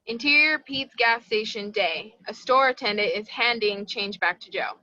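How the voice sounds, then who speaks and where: A woman narrates a script's stage directions at a table read. Her voice is bright and mid-pitched, recorded very dry and slightly dull in fidelity. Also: moderate pace, no room sound.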